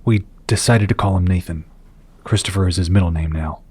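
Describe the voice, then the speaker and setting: A man acting a distraught husband and father in an audio drama, with a husky voice and a brooding, halting manner. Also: deep voice, a little mellow. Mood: worried, despondent.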